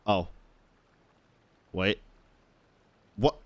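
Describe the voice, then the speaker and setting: A man's voice: fairly low pitch, drawn-out words, slight background noise. A man streaming a video game with casual, incredulous, sweary reactions. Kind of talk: casual live gameplay reaction. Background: faint game music.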